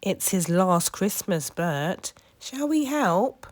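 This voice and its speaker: A woman's voice: on the low side, very animated, slow, dry recording, voice pushed up. A woman voicing pesky storybook seagulls with squawky, exclamatory calls. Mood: concerned, scheming.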